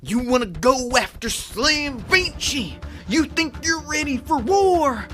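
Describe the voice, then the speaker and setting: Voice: high-pitched, expressive, slow pace, a bit bright, soft background music, full character voice. A performer voicing a huge, boastful monster villain with taunting pro-wrestler bravado in an audio drama. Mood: menacing. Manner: taunting, bombastic.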